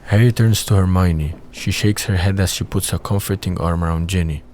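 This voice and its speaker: A man's voice: sits low, steady tone, a little fast, a little mellow, slight background noise. A man reading scripted narration for an audio drama as its narrator.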